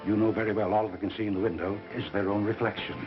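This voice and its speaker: An actor playing a self-important, flirtatious sponsor in 1930s film style, smooth, fussy and wheedling. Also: male voice, on the low side, little pitch movement, quick pace, lightly acted. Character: oily, flirtatious, self-important male sponsor, a would-be Casanova. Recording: muffled 1930s film soundtrack with music.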